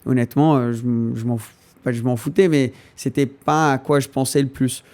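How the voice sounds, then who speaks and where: A man's voice: medium pitch, level tone, normal speed, a little mellow. A young man whose first language is English, a podcast guest who talks casually and hesitantly while he searches for French words.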